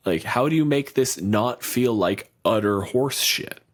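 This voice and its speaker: A man's voice: fairly low pitch, lively intonation, measured pace. A man co-hosting a podcast in casual chat, blunt and profane, riffing with lots of energy. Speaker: podcast co-host.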